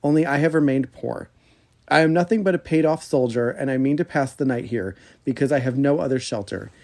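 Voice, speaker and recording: A man's voice: medium pitch, fairly quick, gravelly, a little mellow, close to an everyday voice. A man voicing a fearless, wily older soldier in a storybook, bold and plain-spoken. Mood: unafraid.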